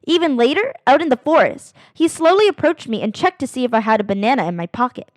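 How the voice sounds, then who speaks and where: Very high, lively woman reading to children. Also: no room sound. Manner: animated, dramatic emphasis with drawn-out phrases. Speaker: read-aloud narrator of children's books. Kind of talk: expressive children's picture book read-aloud.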